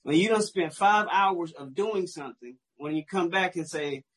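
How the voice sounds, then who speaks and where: Male co-host, husky tone, easygoing proverb-driven business advice. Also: on the high side, normal speed, fairly bright tone. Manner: folksy, explains with proverbs. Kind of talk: live online panel discussion.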